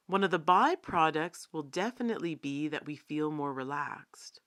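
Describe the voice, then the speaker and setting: Female meditation guide, lower-pitched and very slow, calm and gently instructive. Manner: calm, unhurried, gently instructive with long pauses. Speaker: meditation teacher. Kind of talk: guided body scan meditation.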